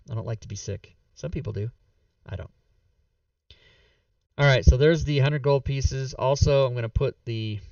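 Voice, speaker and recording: A man's voice: medium pitch, gravelly, darkish tone, no room sound. A man hosting a tabletop game stream, chatting casually and rambling with lots of fillers while losing his voice. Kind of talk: casual end-of-stream chat. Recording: dull, slightly distant, dry mic.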